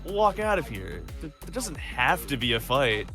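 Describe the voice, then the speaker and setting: A man's voice: medium pitch, sing-song, moderate pace, music in the background. An actor playing a goofy, good-natured male hero, casual and comically boastful, in an audio drama. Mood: placating.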